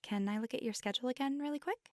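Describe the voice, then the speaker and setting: A woman's voice: high-pitched, racing, a little mellow, no echo, clean studio sound, close to an everyday voice. A young woman playing a friendly, easily flustered college bookshop clerk in a chatty ASMR roleplay. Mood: helpful, brisk. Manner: chatty, stammering when flustered. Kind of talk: ASMR roleplay, scripted one-sided conversation.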